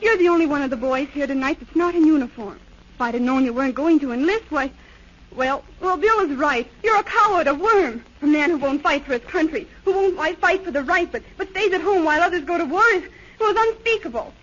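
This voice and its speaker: A woman's voice: high-pitched, animated, brisk, fairly bright tone. An actress playing a spirited, patriotic young woman with a scornful, exclamatory manner in 1930s radio drama style. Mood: indignant, disappointed. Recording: dull, noisy 1930s radio recording.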